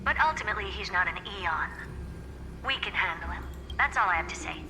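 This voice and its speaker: Haughty, brisk genius madam. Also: female voice, lowish voice, animated, long reverb tail, low-quality recording. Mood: brisk, smug. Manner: brusque, superior, matter-of-fact. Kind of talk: scripted game voice acting. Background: game music.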